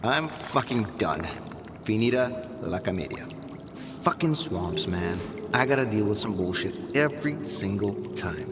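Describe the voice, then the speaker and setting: Cocky game stalker guide, slangy, sweary, lively swooping pitch, loud music behind. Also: male voice, a little slow. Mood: weary, evasive. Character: cocky, foul-mouthed, wary stalker guide. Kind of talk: video game cutscene acting.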